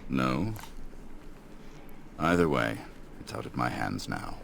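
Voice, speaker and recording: Very deep, gravelly old village elder. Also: male voice, expressive, slow, fairly bright tone, slight background noise, exaggerated voice. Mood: weary, resigned. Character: weary old male village elder, solemn and resigned. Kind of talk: fantasy video game voice acting.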